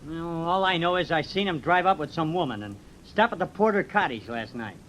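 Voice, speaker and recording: A man's voice: a little high, expressive, a little fast, fairly bright tone. A man playing a cocky, evasive young loafer with an American accent in a 1940s radio drama. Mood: defiant. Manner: slangy, defensive, evasive. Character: cocky, shifty young loafer in his twenties. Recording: noisy old radio drama recording.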